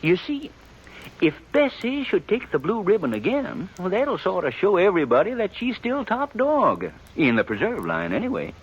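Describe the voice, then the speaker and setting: A man's voice: high voice, moderate pace, breathy edge, muffled recording, exaggerated voice. An American man playing a flustered, wheedling small-town undertaker in a 1950s Western radio drama, stammering and rustic as he sweet-talks. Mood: wheedling, persuasive. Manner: stammering, rustic, excitable, persuasive. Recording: 1950s radio recording with faint noise.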